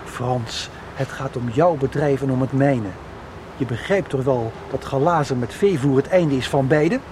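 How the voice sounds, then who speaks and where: A man acting as an earnest, middle-aged company director with a mid-pitched, hesitant way of talking. He sounds like a wistful radio soap character. Clear outdoor noise sits behind him. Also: unhurried, darkish tone, lightly acted. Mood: probing, grave. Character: earnest, wistful factory director from a farm family.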